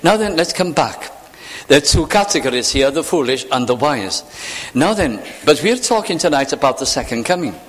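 A man preaching a sermon, acting out imagined voices and sinking to hushed, near-whispered phrases. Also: fairly high pitch, very animated, normal speed, long reverb tail. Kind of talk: evening sermon.

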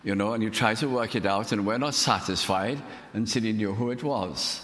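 A man with a Welsh accent whose first language is Welsh, preaching a sermon in an earnest, unhurried way, letting his voice drop softly at the ends of phrases. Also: lowish voice. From Wales. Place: church. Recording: long reverb of a hall.

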